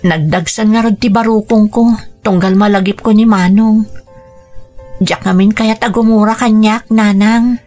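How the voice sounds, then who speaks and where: A woman's voice: medium pitch, fairly even intonation, unhurried, warm tone, natural voice. A woman playing a caring, heavy-hearted young adult daughter in a radio drama, earnest and sentimental, with an Ilocano accent, over music. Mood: hurt, pleading. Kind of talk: Filipino radio drama acting.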